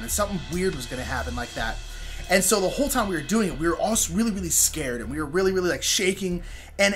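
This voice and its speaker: A young American man telling a personal story casually, in an animated, informal way with joking asides. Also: sits high. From Sacramento, California. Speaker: storyteller, show host.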